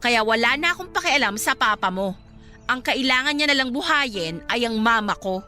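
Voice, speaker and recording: A smug, cold-hearted Filipino rival in a radio drama. Her high voice swings widely as she taunts and snaps dismissively, over a music bed. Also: expressive, moderate pace, bright, forceful tone. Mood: scornful, irritated. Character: smug, cold-hearted young married woman, a scornful rival.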